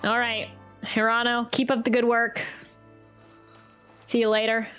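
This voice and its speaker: A woman giving casual, playful game commentary in a fairly high voice. She is chatty and unhurried, with game music playing behind her. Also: completely flat delivery, a bit bright. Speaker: game streamer reacting to a playthrough.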